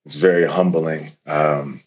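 A man's voice: extremely low pitch, fairly even intonation, measured pace, lo-fi sound. A man in his twenties from Connecticut, a professional football player, talking casually as a guest with many fillers and restarts. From Connecticut, USA.

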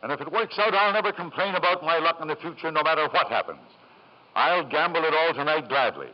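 A man's voice: a little high, lively intonation, a little fast, lightly acted. An actor playing an ambitious, scheming father in 1950s radio drama, persuasive and theatrical, on an old broadcast recording. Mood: fervent. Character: ambitious, scheming father, smooth-talking and desperately gambling. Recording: dull lo-fi vintage radio broadcast.

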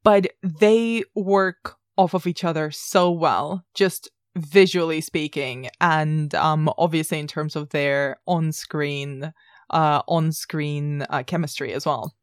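A woman's voice: low-pitched, steady tone, slow pace, no echo, studio quality. A woman co-hosting a casual film discussion, speaking in an emphatic, opinionated and playful way. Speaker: podcast co-host.

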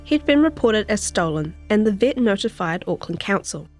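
A woman's voice: mid-range voice, very animated, normal speed, faint music behind. A woman journalist with a New Zealand accent, presenting a reported story for a podcast. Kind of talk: interview chat and scripted feature narration.

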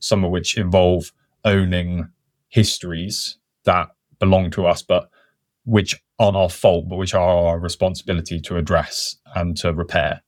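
A man with a very low voice talks as a podcast guest. He thinks aloud at an unhurried, reflective pace and sometimes starts a sentence over. The sound is dry and close. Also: no echo. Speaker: podcast guest, conservation worker. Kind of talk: casual conversational interview.

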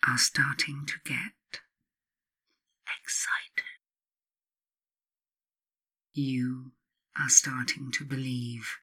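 A woman narrates her own self-help audiobook slowly, with a breathy, husky edge and a bright, forward tone. She is measured and instructive, setting off key phrases with emphatic pauses. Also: rumbling low. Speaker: author reading own book.

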